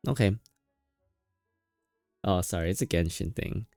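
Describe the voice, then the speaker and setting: Low-voiced male game streamer, tired and rambling. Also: a little slow, gravelly, a little mellow, no echo, clean studio sound. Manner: rambling, playful teasing. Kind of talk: casual livestream chat. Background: game music.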